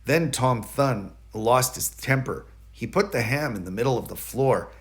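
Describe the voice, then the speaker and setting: A man with a medium-pitched voice reads a children's storybook aloud. His narration is expressive, with dramatic emphasis on key words. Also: measured pace. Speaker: storybook reader.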